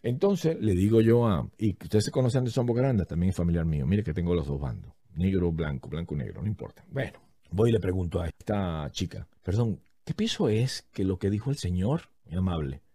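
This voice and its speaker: A man's voice: deep voice, big pitch swings, brisk, mellow, slightly rough, no echo. A man telling a story in a casual, comedic way, animatedly acting out what other people said.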